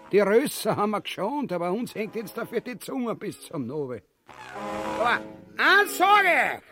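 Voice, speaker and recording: An actor playing a vulgar, cowardly, bombastic king in a grotesque comedy, blustering and whining with an Austrian accent. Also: fairly high pitch. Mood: grumbling boastful. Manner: blustering, whining, swooping between mutters and bellows. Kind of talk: grotesque comic radio-play acting.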